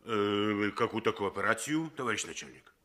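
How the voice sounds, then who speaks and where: A bumbling village policeman in a radio play. His voice is fairly low and swings expressively, sheepish and apologetic. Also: lively intonation, fairly quick, sharp tone. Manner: hesitant, apologetic. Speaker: junior policeman. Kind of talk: Russian radio play acting.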